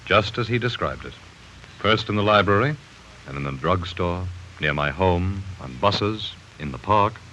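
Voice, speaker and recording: An actor playing a calm, thoughtful doctor who speaks in a measured, grave way, in 1940s radio drama style. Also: male voice, deep voice, slight background noise, heavily acted. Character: calm, thoughtful middle-aged male doctor. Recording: muffled 1940s radio broadcast.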